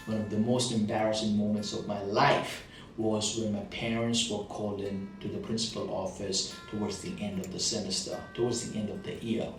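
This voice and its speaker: A man's voice: fairly low pitch, level tone, moderate pace, distant mic. A man with a thick Indian accent, giving an earnest motivational monologue about his past struggles, repeating phrases for emphasis. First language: not English. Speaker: motivational speaker. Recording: very echoey room, voice off-mic, soft guitar music behind.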